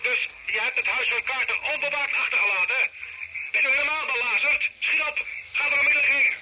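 An actor playing an exasperated, swearing police officer in a radio drama, barking over a two-way radio. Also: male voice, very high voice, brisk. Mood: impatient, angry. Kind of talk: Dutch radio crime drama acting. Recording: very noisy crackling two-way radio.

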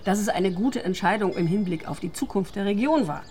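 A woman's voice: medium pitch, lively intonation, fairly quick, a little mellow, a little hiss or hum, close to an everyday voice. Diplomatic town mayor and mother played by a woman, middle-aged, mid-range, official manner, radio drama. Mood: composed, official. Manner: polite, official, exasperated with her son. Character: diplomatic female mayor, embarrassed mother of a teenage son. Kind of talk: naturalistic radio drama acting.

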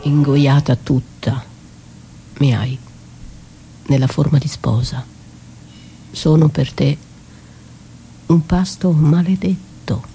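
A woman's voice: deep voice, fairly even intonation, warm tone, a little hiss or hum, rough recording. A woman performing a dramatic radio theatre monologue as a battered wife, wounded, accusing and defiant, with intense delivery. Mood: dark, accusing. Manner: slow, intense delivery swinging from whispers to cries. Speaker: stage actress performing a solo monologue. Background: music.

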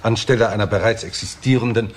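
Deep-voiced, formal lawyer. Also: male voice, breathy edge. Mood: formal, measured. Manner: formal, measured, precise legal phrasing. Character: formal, discreet English family lawyer. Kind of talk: 1960s German radio crime drama acting.

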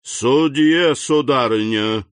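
A man reading the part of a stern, cold middle-aged royal prosecutor who speaks in an icy, deliberate, accusing manner. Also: medium pitch, no echo, lightly acted. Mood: cold interrogating.

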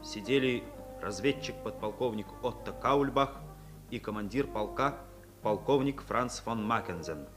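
A low male voice narrates a vintage radio play. The delivery is scripted and theatrical, with music clearly playing behind him. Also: a little slow.

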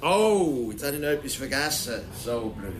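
A man's voice: medium pitch, very animated, normal speed, close to an everyday voice. A man acts a well-read, talkative Swiss German fellow in a radio play. He sounds knowing and can bristle easily. A little ambient noise sits behind him. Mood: jovial. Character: educated, talkative man, courting but quick to bristle. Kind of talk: radio drama acting.

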